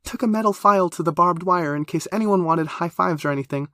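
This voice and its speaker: A woman's voice: lowish voice, fairly quick, dry recording, studio quality, voice pushed up, natural voice. A man reading a story, voicing a bubbly, nervous young woman who gushes and trips over her words. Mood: excited, flustered. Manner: gushing, flustered, loud.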